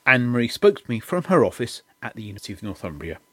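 A man presents a history podcast with formal, measured delivery and a very animated pitch. His voice is recorded close and dry, with no room sound at all. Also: slightly rough, no echo. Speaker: podcast host. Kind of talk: scripted intro and interview questions.

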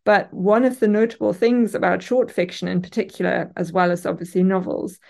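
A German-born woman with a German accent, an academic guest answering interview questions thoughtfully, pausing often with ums and restarting phrases. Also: medium pitch, fairly even intonation, vocal fry, darkish tone. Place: university office. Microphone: remote call from office.

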